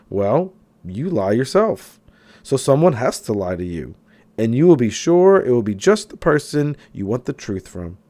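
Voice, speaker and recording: A man reading a self-help book aloud as an audiobook narrator, with expressive, emphatic delivery. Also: mid-range voice. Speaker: audiobook reader.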